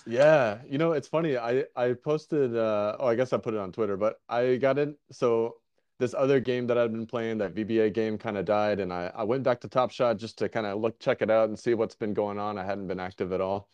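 A man's voice: lowish voice, fairly even intonation, brisk, darkish tone, clear, resonant tone, no room sound, dull audio. A man hosting a casual interview podcast, talking in an easygoing, rambling way with many restarts. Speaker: podcast host.

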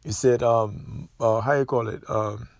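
A man's voice: medium pitch, steady tone, a little slow, creaky, a little mellow, no echo, studio quality. A man hosting a solo podcast, opinionated and emphatic, often repeating himself. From Haiti. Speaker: podcast host.

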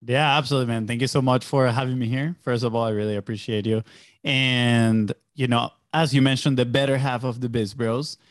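A man's voice: medium pitch, steady tone, brisk, no room sound, clean studio sound. Upbeat young man from Venezuela. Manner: upbeat, loose storytelling with many fillers. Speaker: podcast guest, entrepreneur. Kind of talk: casual interview chat.

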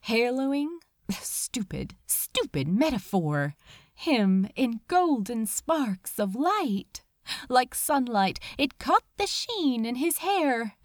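A woman reading a story aloud as dramatic literary narration, emotive, with drawn-out phrases and pauses. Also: on the high side, no echo, clean studio sound. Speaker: audiobook reader of fan fiction.

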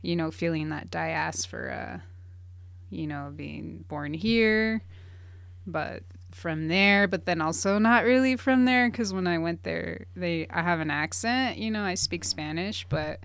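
A woman talking in a relaxed, reflective way, with lots of fillers. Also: fairly low pitch, a little slow, vocal fry, smooth, no room sound. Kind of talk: casual conversation.

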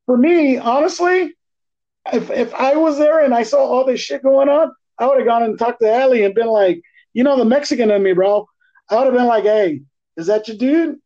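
A man co-hosting a podcast, chatting casually in profane slang with an animated, teasing, ranting manner. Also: very high voice, moderate pace. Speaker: podcast co-host. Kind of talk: casual, slangy movie chat. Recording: dull, lo-fi podcast audio.

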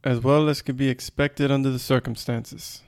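A man co-hosting a podcast, talking in a relaxed, wry and slightly rambling way. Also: medium pitch, normal speed, clean tone. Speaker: podcast co-host. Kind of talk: casual podcast chat.

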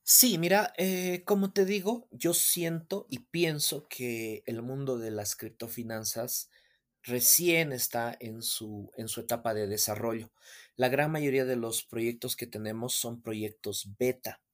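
A man with a mid-range voice guests on a casual podcast. He explains things in a measured, patient way and fills his pauses with "eh". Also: mid-range voice.

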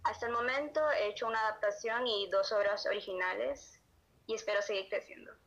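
A woman's voice: mid-range voice, fairly even intonation, a little fast, fairly bright tone. A woman with a Honduran accent answering casually as an interview guest who writes as an amateur. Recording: dull lo-fi audio.

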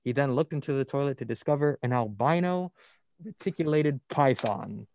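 A man's voice: medium pitch, moderate pace, darkish tone, slight background noise. A young man from New Jersey hosting a casual college radio news chat, reading stories aloud in a playful, upbeat way. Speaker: radio show host.

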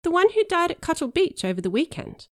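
A woman's voice: extremely high pitch, very animated, a little fast, dry recording, clean studio sound, voice pushed up. A woman voicing a frightened, earnest teenage boy who talks nervously.

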